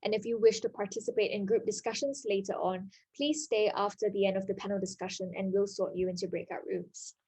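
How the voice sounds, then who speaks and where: A woman's voice: medium pitch, steady tone, brisk, few pauses, a little mellow, husky, low-quality recording. A woman moderating an online panel, reading announcements and introducing speakers in a polite, formal way. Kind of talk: scripted event moderation.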